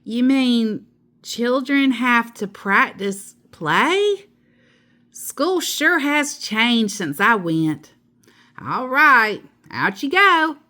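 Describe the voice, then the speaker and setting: Storybook teacher, played by a woman reader: chirpy, bossy, takes everything literally; slow emphatic phrasing with big melodic swings. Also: on the high side, very animated, sharp tone, pitched above the performer's own voice, heavily acted. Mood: bossy, puzzled. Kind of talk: children's storybook read-aloud.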